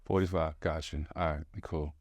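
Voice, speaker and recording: A man hosting a podcast in an excitable, rambling way, full of restarts and fillers. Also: very deep voice, moderate pace, no echo, studio quality. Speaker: podcast host. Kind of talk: casual chat.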